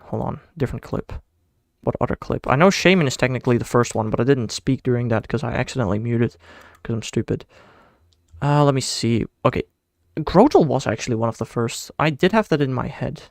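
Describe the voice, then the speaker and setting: A man's voice: medium pitch, fairly quick, gravelly, no room sound, clean studio sound. A man from the Netherlands with a Dutch accent, chatting casually on a live stream and rambling in a self-deprecating way. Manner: rambling, self-deprecating, thinks aloud with restarts.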